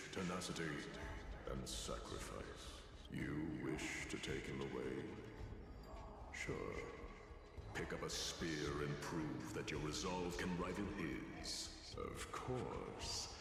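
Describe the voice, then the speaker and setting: Male imperious strife titan for fantasy game: grandiose, gravelly, swooping delivery. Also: low-pitched, full character voice. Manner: grandiose, solemn, archaic declamation. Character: imperious titan god of strife, proud, war-hungry warrior. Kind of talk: theatrical fantasy game dub. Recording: vast echoing reverb, music behind.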